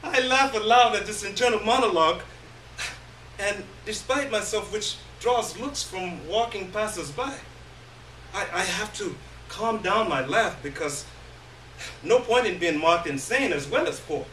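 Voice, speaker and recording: A man giving a theatrical, halting first-person reading of a literary story on stage. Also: sits high, sharp tone. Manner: theatrical, halting, with long pauses. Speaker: reader of a short story. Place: live indoor stage reading. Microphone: distant microphone. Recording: roomy, distant stage recording, faint noise.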